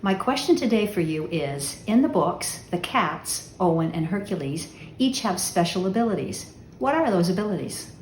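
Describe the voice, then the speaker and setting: A woman with a slightly low voice gives a short, prepared trivia message as an author. The room echoes clearly around her. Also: lively intonation, normal speed. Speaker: mystery author. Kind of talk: brief scripted trivia message. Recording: echoey room with faint noise.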